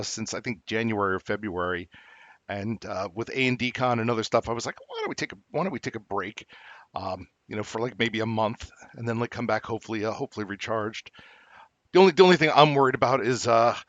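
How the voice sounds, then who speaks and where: A middle-aged man co-hosting a podcast in casual chat, rambling with many halting restarts and fillers. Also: mid-range voice, animated, fairly bright tone, no room sound. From New Jersey. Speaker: podcast co-host. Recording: dull lo-fi dry recording.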